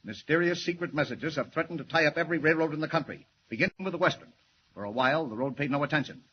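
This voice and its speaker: An actor playing a brusque, blustering, impatient newspaper editor in a 1940s-style radio drama. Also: male voice, a little high, fast, close to an everyday voice. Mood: grave, harried. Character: gruff, harried, impatient male newspaper editor boss. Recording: muffled, noisy vintage radio recording.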